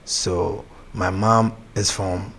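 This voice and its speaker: Young ghanaian guy, lowish voice, soft and laughy, bit of hiss behind. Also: steady tone, extremely slow, gravelly. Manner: soft-spoken, laughs easily, hesitant restarts. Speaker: gospel artist guest. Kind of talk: casual interview chat.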